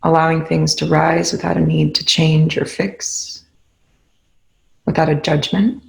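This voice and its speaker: Woman, meditation guide, low pitch, flat steady intonation, calm, gentle instruction. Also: measured pace, gravelly, poor audio.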